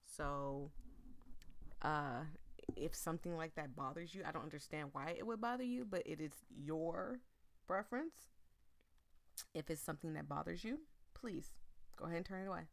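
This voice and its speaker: A woman doing a casual let's-play, reading a visual novel aloud in a chatty, expressive way and breaking off into asides. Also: sits low, moderate pace, creaky, pauses often. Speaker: video game streamer.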